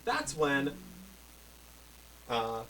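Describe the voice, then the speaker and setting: Man, game master, relaxed rambling narration, slightly distant mic, faint noise. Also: medium pitch, very slow.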